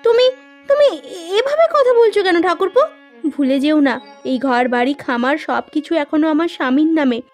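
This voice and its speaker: A woman's voice: sits high, animated, moderate pace, darkish tone. A woman voicing a distressed, indignant wife in a melodramatic cartoon, pleading over music. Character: distressed, indignant wife of missing farm owner.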